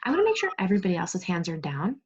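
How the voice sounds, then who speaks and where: A woman teaching second grade over a video call, reading aloud, giving clear instructions and prompting pupils with questions. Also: lowish voice, huge pitch swings, racing. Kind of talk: interactive read-aloud lesson on video call. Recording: dull, muffled video call audio.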